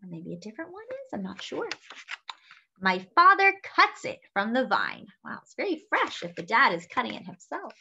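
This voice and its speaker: A young woman reading a picture book aloud to children with animated, expressive delivery. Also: a little high, moderate pace, gravelly, slight background noise. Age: young adult. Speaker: children's story-time reader. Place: small room indoors at home. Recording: slightly dull webcam audio.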